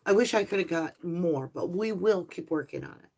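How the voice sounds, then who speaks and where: A woman hosting a live tarot stream, talking softly and affectionately to her viewers, her voice slightly hoarse and tired. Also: lowish voice. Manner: soft, slow, spiritual and affectionate, addressing viewers as lovelies. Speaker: tarot reader and channel host.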